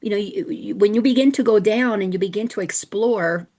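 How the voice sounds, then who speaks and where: A woman's voice: medium pitch, a little fast. A middle-aged woman from the Dominican Republic hosting a casual spiritual teaching talk, chatty and storytelling, often restarting her sentences. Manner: chatty, warm-hearted storytelling with frequent restarts.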